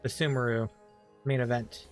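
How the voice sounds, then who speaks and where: A man giving casual, low-key live commentary as he streams a video game. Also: flat delivery, moderate pace. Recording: game music behind the voice. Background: video game music.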